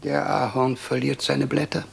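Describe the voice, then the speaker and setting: A man's voice: mid-range voice, level tone, moderate pace, gravelly, husky, full character voice. A man playing a garrulous, wryly lamenting older Russian medical man in a 1950s radio play, with chatty, rambling storytelling.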